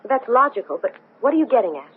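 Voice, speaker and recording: An actress playing a troubled, intense woman astronaut in a 1970s radio drama, with a tense, halting, insistent delivery. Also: on the high side, sing-song. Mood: uneasy. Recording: tinny, noisy 1970s radio broadcast.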